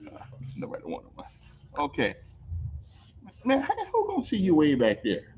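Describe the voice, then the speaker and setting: An older man hosting a casual on-location interview, telling stories in an animated way, repeating words and laughing as he goes. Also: on the high side, normal speed, slight background noise. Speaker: host. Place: on location at a veterans center. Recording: dull lo-fi recording.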